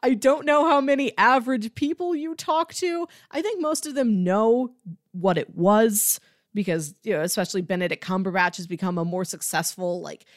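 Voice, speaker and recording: A woman's voice: mid-range voice, normal speed, dry recording, clean studio sound. A woman co-hosting a podcast, chatting casually and earnestly about television with emphatic, discursive phrasing. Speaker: podcast co-host. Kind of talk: casual chat discussing a TV series.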